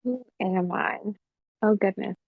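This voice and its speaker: A woman from Colombia, a content creator being interviewed softly, giggly and thoughtful. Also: medium pitch, a little slow, gravelly, breathy edge, no echo, narrow, tinny audio. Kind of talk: soft-spoken interview answers.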